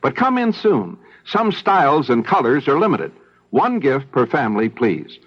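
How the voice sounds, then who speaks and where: A man's voice: medium pitch, sing-song, normal speed, no echo. A man reading a scripted 1970s radio commercial as its announcer. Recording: dull old radio recording.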